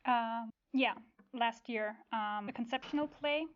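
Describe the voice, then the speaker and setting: A woman's voice: on the high side, slow pace. A young woman chatting casually as a podcast guest, on slightly dull, lo-fi audio. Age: young adult. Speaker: podcast guest, law student. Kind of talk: casual chat.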